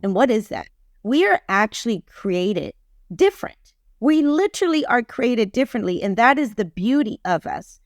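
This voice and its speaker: A woman's voice: fairly high pitch, very animated, moderate pace, dry recording, studio quality. A woman in her fifties speaking as a podcast guest, giving opinions in an emphatic, casual way and repeating phrases for stress. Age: 50s. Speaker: podcast guest, relationship expert.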